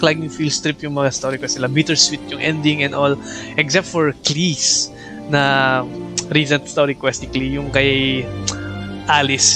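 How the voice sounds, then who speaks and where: Filipino man streaming a game, music behind. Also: on the high side. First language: Tagalog. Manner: reads game dialogue aloud, mixes two languages. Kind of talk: casual livestream chat and game commentary.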